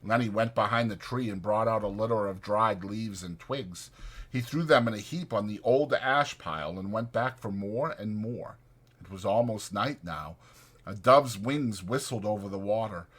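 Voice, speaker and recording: A man's voice: lowish voice, moderate pace, fairly bright tone, slightly rough. A man reading literary fiction as a dramatized audiobook narrator.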